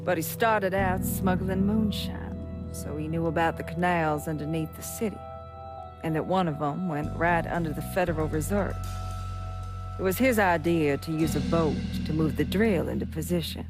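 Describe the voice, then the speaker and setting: An actor playing a reflective man recalling his crooked father in measured, rueful interview narration for a video game. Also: a little high, music in the background, lightly acted.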